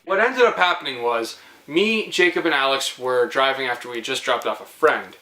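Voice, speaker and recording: A young man hosting a vlog, telling a story casually in a jokey, animated, teasing way. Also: medium pitch, normal speed, rough recording. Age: young adult.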